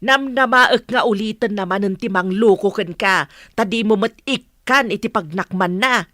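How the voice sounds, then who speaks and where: A woman's voice: medium pitch, moderate pace, fairly bright tone, dry recording, studio quality, lightly acted. A woman playing an indignant, disapproving middle-aged Filipino mother in a radio serial, scolding and exasperated. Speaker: radio drama actor.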